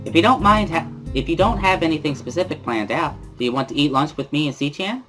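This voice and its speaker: Playful, girlish high-school student performed by a man, raised pitch, music behind. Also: expressive, a little fast, a bit bright. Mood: cheerful. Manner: girlishly playful, delivering formal scolding. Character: playful girlish high-school girl, translating for her stern friend.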